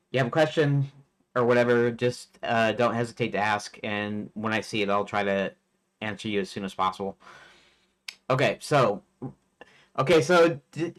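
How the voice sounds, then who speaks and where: A man's voice: mid-range voice, fairly bright tone. Rambling man in his forties. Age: 40s. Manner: rambling, hesitant, many fillers and restarts. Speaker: game developer streaming his work. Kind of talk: casual live-stream chat.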